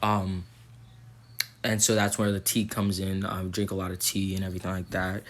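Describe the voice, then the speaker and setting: A man's voice: sits low, completely flat delivery, normal speed, a bit bright. A young man recording a casual vlog update, rambling with lots of ums and likes, his throat a little sore. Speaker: vlogger.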